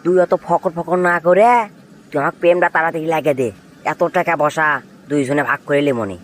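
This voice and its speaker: A man plays a sly, freeloading young villager in a comic cartoon. His fairly high voice carries a Bogura accent. He talks quickly, needling and insisting, with faint noise behind him. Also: lightly acted. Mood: demanding. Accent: Bogura, northern Bangladesh. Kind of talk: comic cartoon dialogue in rural dialect.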